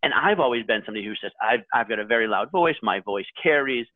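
A man's voice: medium pitch, animated, fast, dry recording, narrow, tinny audio. A man on a panel, explaining his thinking in a casual, conversational way. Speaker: panel guest and article writer.

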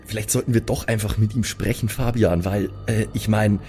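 A man's voice: lowish voice, steady tone, moderate pace, vocal fry, dark tone. A man playing a tabletop adventure, talking in character with a hesitant, cautious manner. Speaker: role-playing game player. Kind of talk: improvised in-character chat. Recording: music behind the voice. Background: music.

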